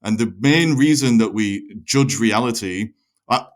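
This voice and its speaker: A male podcast host with a slightly low voice. He talks in long, reflective explanations, correcting himself as he works through an idea in conversation. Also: unhurried. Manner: long-winded, self-correcting.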